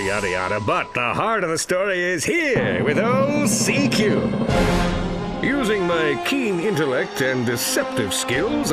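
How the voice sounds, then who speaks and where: An actor playing a pompous, dim-witted, boastful space superhero in a cartoonish video game, bombastic and goofy. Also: male voice, a little high. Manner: bombastic, self-important, goofy.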